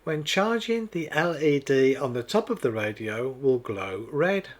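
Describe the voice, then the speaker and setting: A man's voice: mid-range voice, big pitch swings, unhurried. A man narrating a scripted product demonstration in a methodical, step-by-step explaining manner. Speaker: product reviewer.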